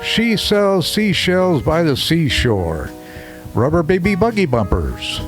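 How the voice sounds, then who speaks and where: Chatty deep-voiced man reviewing a mic. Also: very animated, slow, music in the background. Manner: chatty, loose, with frequent fillers. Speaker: microphone reviewer. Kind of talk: casual product review to camera. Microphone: studio microphone under test.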